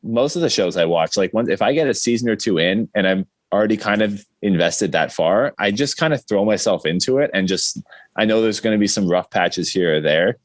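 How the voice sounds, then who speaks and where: A man's voice: lowish voice, animated, a little fast, no room sound. A man talking in a casual podcast discussion.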